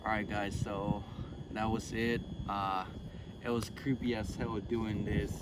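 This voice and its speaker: A man's voice: lowish voice, flat delivery, unhurried. A man co-hosting a podcast, talking nervously and jokingly to viewers in casual chat while filming at night in a graveyard. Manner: nervous, jokey, talks straight to viewers. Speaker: podcast co-host. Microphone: phone. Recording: loud noisy phone recording outdoors.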